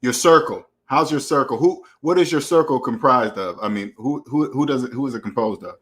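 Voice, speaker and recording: A man hosting a casual online panel chat, relaxed and encouraging, using slangy everyday speech. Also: medium pitch, brisk, noisy background. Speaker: show host.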